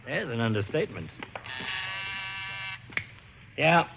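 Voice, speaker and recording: An actor playing a skeptical, businesslike police lieutenant in an old radio crime drama, speaking tersely. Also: male voice, medium pitch, very animated, takes it slowly, gravelly, full character voice. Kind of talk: 1940s-50s radio detective drama acting. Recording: muffled old radio recording, some noise.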